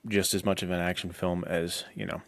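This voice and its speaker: A man's voice: low voice, little pitch movement, a little fast, creaky, fairly bright tone, dry recording. An American man talking casually and earnestly as a podcast co-host about action films, with slangy, conversational phrasing.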